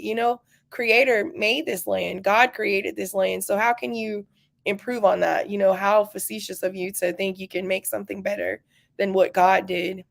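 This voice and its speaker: A woman's voice: mid-range voice, creaky. A woman from North Carolina, a community organizer, speaking earnestly and with passion to a virtual rally. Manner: earnest and impassioned.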